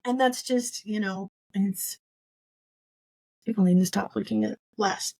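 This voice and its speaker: A woman chats as a podcast co-host in an informal book discussion. Her voice sits at a middle pitch and she stresses points by restating them. The sound is dry, with very little room in it. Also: steady tone, unhurried, gravelly, mellow, dry recording. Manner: emphatic, repeats points for stress.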